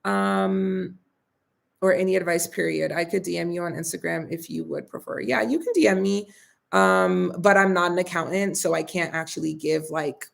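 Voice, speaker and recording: A young woman hosts a relaxed live question-and-answer session, reading viewer comments aloud and answering in a chatty, friendly way. Her voice has a strong gravelly fry, and it turns a little raspy after so much talking. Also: lowish voice, moderate pace. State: getting a bit raspy from talking. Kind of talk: casual live Q&A.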